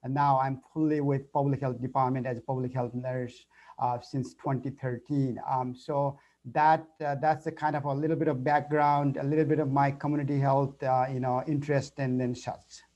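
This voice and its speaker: A man's voice: mid-range voice, little pitch movement, normal speed, darkish tone. A man with a Nepali accent, a public health nurse speaking as an alumnus panelist on a video call, hesitant with many fillers. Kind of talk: virtual panel talk. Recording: muffled video call audio.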